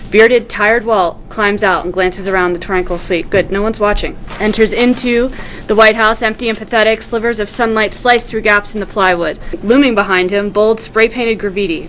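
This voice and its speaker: A woman's voice: mid-range voice, fairly bright tone, slight background noise, poor audio. A woman reading screenplay stage directions aloud as scripted narration, in a vivid storytelling manner.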